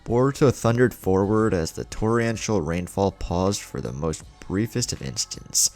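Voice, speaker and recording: A man's voice: on the low side, normal speed, darkish tone, faint music behind. A man hosting a story channel, reading scripted fan fiction in a dramatic narrator's delivery.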